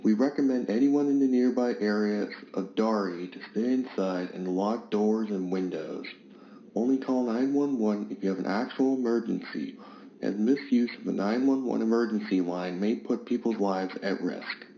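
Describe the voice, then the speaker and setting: A man's voice: lowish voice, normal speed, dark tone, natural voice. A man playing a sober county emergency chief, giving a formal, serious scripted public address. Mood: serious, cautioning. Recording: roomy, dull recording with faint noise.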